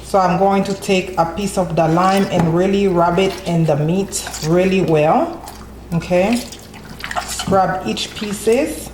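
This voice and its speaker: A woman's voice: fairly low pitch, takes it slowly, echoey room, noisy background. A woman hosting a home cooking tutorial, walking through each step in a friendly way and often adding "okay?" as she goes. She is from Haiti. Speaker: cooking channel host. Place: home kitchen.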